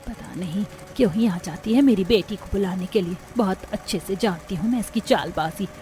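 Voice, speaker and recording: Lady as a protective middle-aged mom in a cartoon, softer and a bit lower, caring. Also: normal speed, a little hiss or hum, natural voice. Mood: protective, resentful.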